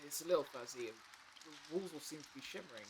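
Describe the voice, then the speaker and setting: A man's voice: on the high side, animated, fast, slight background noise, natural voice, low-quality recording. A man acting in an audio drama as a dazed, apologetic, anxious figure who stammers and hesitates.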